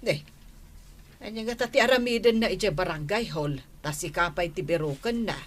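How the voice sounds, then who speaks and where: A woman's voice: fairly low pitch, expressive, moderate pace. A woman with an Ilocano accent playing an impatient, scolding villager in a comedy drama. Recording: faint music underneath.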